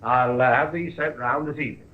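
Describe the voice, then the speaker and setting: An actor playing a polite, eager-to-please Victorian chemist, deferential and a little fussy, in 1950s radio drama style. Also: male voice, mid-range voice, fairly even intonation, a little fast, lightly acted. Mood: obliging. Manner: courteous, deferential, fussy hesitations. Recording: thin, phone-like old radio recording.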